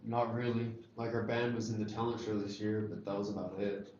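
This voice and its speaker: A man's voice: on the low side, flat delivery, brisk, darkish tone, close to an everyday voice. A man acting a wary, wounded teenage goth boy in a screenplay table read, subdued and guarded. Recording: echoey room, dull lo-fi, faint noise.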